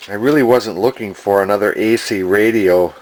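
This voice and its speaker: A man, talking casually and unscripted as a collector in a deliberate, plainspoken, folksy way. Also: lowish voice, darkish tone. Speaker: antique radio collector and restorer. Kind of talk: casual unscripted video narration.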